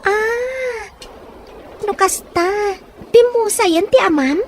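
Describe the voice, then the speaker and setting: A woman's voice: extremely high pitch, slow pace. A woman playing a poor, resilient young orphan in an audio drama, plain-spoken and caring, with a northern Philippine accent. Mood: teasing, concerned. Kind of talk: acted audio drama dialogue. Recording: faint background noise.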